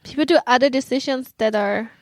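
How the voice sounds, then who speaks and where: A woman's voice: sits high, fairly quick, no echo. A woman in her thirties hosting a podcast, chatty and giggly in casual banter. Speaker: podcast host.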